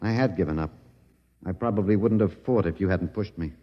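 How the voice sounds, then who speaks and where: An actor playing a wry, tender male survivor in a 1950s radio drama, musing and gently hesitant. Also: low voice, brisk. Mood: musing, earnest. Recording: dull vintage radio recording, long reverb.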